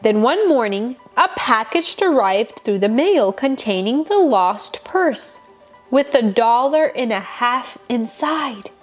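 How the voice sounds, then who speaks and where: A woman with a fairly high, very animated voice tells a children's bedtime story. She takes her time, leaning on words and pausing for drama. Music plays softly behind her. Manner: dramatic, deliberate storytelling with emphatic pauses. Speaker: storyteller, show host.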